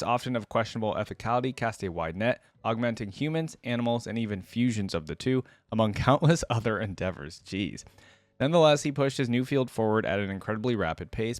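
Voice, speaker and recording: Male reaction host, low register, informal read-aloud with offhand commentary, dry close recording. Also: no room sound. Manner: informal, drops in side comments while reading. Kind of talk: casual reaction commentary mixed with reading lore aloud.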